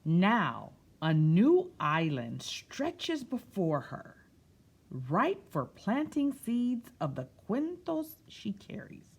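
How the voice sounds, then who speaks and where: A woman reading a picture book aloud for children, stretching key words expressively and pausing for soft explanatory asides. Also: medium pitch, wildly swooping pitch, breathy edge. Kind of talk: read-aloud story time with explanatory asides.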